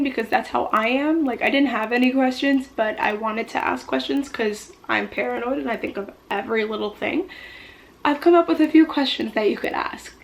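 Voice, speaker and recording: A young woman giving casual, encouraging tips as a vlogger, in a reassuring way. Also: a little high. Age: young adult. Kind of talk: casual advice to camera.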